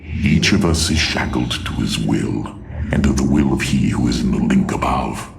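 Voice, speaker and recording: An actor playing a proud, scheming alien warlord in a video game, haughty and theatrical in his gravelly delivery. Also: a bass voice, normal speed, a little hiss or hum, heavily acted. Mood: grave, menacing. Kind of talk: dramatic video game cutscene acting.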